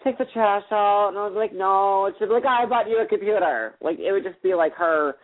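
A man's voice: high-pitched, fairly quick. A young man co-hosting a casual chat show, rambling anxiously with lots of restarts and fillers. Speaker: podcast co-host. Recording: tinny phone-like sound.

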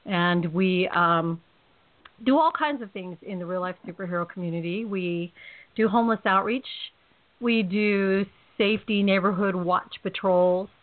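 A talkative woman with a California accent hosts a loose, joking radio chat. She rambles and laughs easily. Her voice comes through a narrow, phone-like line at a middling pitch. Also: measured pace. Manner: chatty, rambling, laughs often. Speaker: host. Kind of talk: casual podcast chat. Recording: tinny phone-line audio.

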